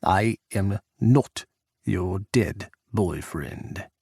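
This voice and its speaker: Casting: male narrator doing a gruff, gravelly Russian head of state, arrogant and dismissive, dry close sound. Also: low voice, very slow, a little mellow, no echo, pitched below the performer's own voice, heavily acted. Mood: scornful. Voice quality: rough, grating.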